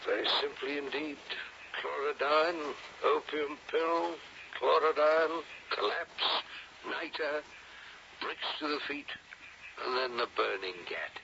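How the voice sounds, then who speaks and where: Gravelly, wry colonial doctor, radio drama. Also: male voice, a little high, slow. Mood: weary, wry. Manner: blunt, inquisitive, wry. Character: brisk, dry-humored British colonial army doctor. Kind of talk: old-time radio drama acting. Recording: muffled, noisy old radio drama tape.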